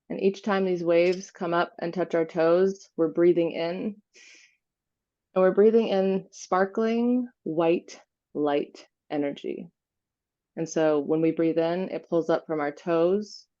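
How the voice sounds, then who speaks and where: A woman leading an unscripted guided visualization meditation, giving gentle instructions with pauses. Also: fairly low pitch, dull audio.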